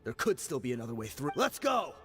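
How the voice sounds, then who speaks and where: A man's voice: mid-range voice, sing-song, quick pace, a bit bright. A man voicing a loyal, eager male mercenary underling in a video game. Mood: urgent, rallying. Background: game music.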